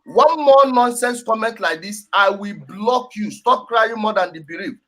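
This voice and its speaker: A man's voice: high voice, lively intonation, a little slow, fairly bright tone. A man with a Nigerian accent hosting a heated political discussion, speaking emphatically and repeating his phrases. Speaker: host.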